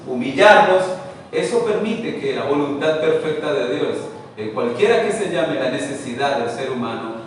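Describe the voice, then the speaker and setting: A man speaks in an earnest, preacherly way as he gives a spiritual address and leads a prayer. His voice sits somewhat high for a man. He is heard from a distance, with a long, echoing reverb filling the large hall around him. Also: a little hiss or hum. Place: meeting room. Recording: echoey hall, picked up from far off.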